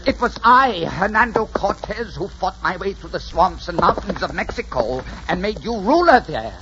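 An actor playing a proud, embittered old Spanish conquistador in theatrical 1940s radio style. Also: male voice, high voice, big pitch swings, normal speed, noisy background. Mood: desperate, indignant. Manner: impassioned, theatrical. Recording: muffled 1940s radio recording, music behind.

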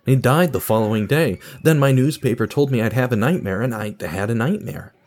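A man's voice: mid-range voice, a little fast, a little mellow, natural voice. A man playing an awkward, apologetic, burnt-out office worker in an audio drama, speaking hesitantly and earnestly. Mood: earnest, uneasy.

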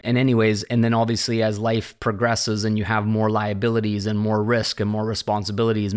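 Man, late 30s, slightly low voice, rambling reflective monologue, podcast host, conversational. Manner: rambling and conversational, often tags "right?" and "this kind of thing".

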